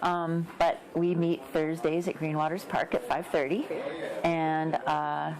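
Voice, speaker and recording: A woman's voice: deep voice, little pitch movement, unhurried, poor audio. A woman instructor answering informally in an interview. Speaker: interviewed exercise class instructor. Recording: crowd behind the voice.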